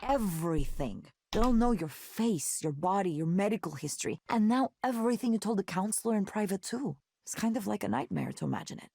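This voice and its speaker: An actress voicing an outspoken, cynical ex-tech worker in a visual novel game, earnest and opinionated. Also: female voice, medium pitch, lively intonation, a little fast, creaky, hardly any pauses, mellow, husky, no room sound, clean studio sound. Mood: grave, earnest. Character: outspoken, cynical woman who quit a tech company.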